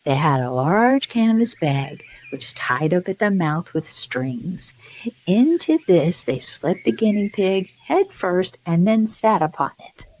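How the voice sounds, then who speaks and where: Bedtime storyteller, female, low register, very unhurried, soothing scripted narration. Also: big pitch swings, darkish tone, low-quality recording. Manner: soothing, measured.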